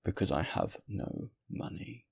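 A man's voice: a little slow, darkish tone, natural voice. A man playing a weary, bitter former soldier, harsh and curt, in an audiobook story.

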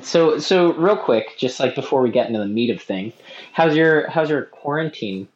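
A man's voice: mid-range voice, expressive, normal speed, reverberant. A young man co-hosting a podcast in casual chat, rambling with self-mocking jokes. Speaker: podcast co-host. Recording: dull lo-fi sound.

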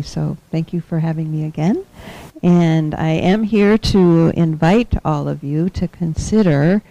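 A soft-spoken woman giving a gentle, measured talk as a guest teacher in a temple hall. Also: low voice, creaky. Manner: gentle, measured, with small laughs. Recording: dull lo-fi recording.

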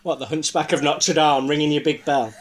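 A man's voice: on the high side, steady tone, brisk, slight background noise. A man co-hosting a casual chat, talking in a chatty, teasing way and steering the conversation. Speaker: podcast co-host.

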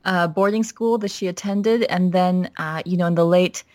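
A woman from Minnesota as a podcast guest, telling her family story in a reflective, steady way with frequent "you know" fillers. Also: lowish voice, moderate pace. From Minnesota, United States. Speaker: pastor's wife and guest. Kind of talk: podcast interview, personal story.

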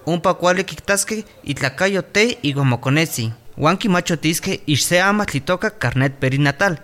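A man's voice: fairly high pitch, animated, moderate pace, fairly bright tone. An actor playing a patient male doctor advising village mothers in a scripted radio drama. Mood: instructive.

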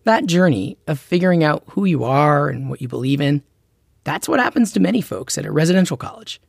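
A man's voice: on the high side, lively intonation, a little fast, creaky, dry recording, studio quality. A man hosting and narrating a documentary-style story in a conversational, personal scripted way. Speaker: host and narrator.

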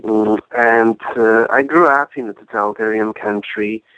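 A man in his forties with a Polish accent, a native Polish speaker, telling his story reflectively and with pauses as an interview guest. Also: lowish voice, steady tone, fairly bright tone, no echo, muffled recording. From Poland. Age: 40s. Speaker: podcast guest, activist and author.

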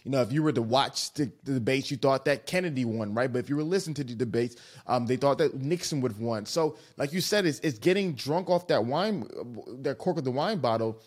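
A young man hosting a podcast speaks earnestly and reflectively, building his thoughts at length. His voice sits at an ordinary middle pitch. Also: lively intonation, brisk, few pauses, husky. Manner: earnest, reflective, long-winded. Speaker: podcast host. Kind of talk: casual podcast conversation.

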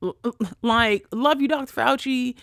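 A man with a high voice hosts a casual solo podcast, talking into a close mic in a dry, deadened space. He rants in an animated, profane way, swinging between loud bursts and quiet asides. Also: normal speed, gravelly, smooth, no echo. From Haitian family. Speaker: podcast host.

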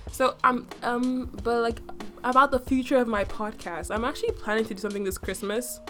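A young adult woman hosting a casual solo podcast, her voice slightly raspy from a cough, chatty and full of fillers with playful boasting. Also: on the high side, steady tone, a little fast, fairly bright tone, faint music behind. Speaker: podcast host.

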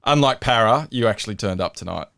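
A man hosts a casual podcast, ribbing his co-host with a jokey, teasing manner. His voice sits low and comes across smooth and resonant. The sound is dry and close, with no room around it. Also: animated, fast talker, a bit bright, dry recording.

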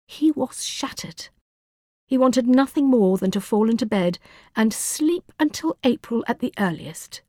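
A woman reads a novel aloud in a medium-pitched voice. Her delivery is scripted, measured and expressive, like a storyteller's. The recording is dry, with hardly any room sound. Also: no echo. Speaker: audiobook narrator. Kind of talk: scripted fiction narration.

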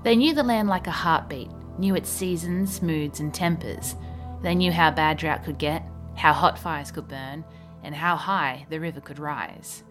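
Australian woman, low voice, measured history storyteller, scripted narration over background music.

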